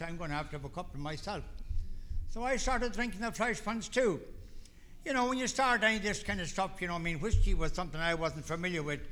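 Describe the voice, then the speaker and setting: A man's voice: high-pitched, fairly bright tone, slight background noise. An older man from Ireland with an Irish accent, telling his own recovery story to a meeting in a folksy, rambling way. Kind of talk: personal testimony talk.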